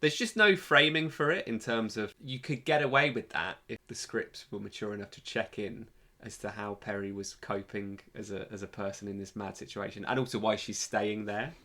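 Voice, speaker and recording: A man co-hosting a podcast, giving casual opinions in a blunt, halting, sometimes sweary way. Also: lowish voice, bright, forceful tone. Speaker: podcast co-host.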